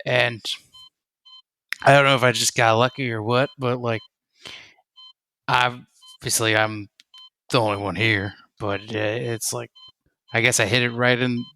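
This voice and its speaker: A man playing a shaken, wary army soldier in a tabletop roleplay, talking in halting, slangy bursts. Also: medium pitch, steady tone, bright, forceful tone. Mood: shaken, rattled. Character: shaken male army soldier, sole survivor, wary and informal.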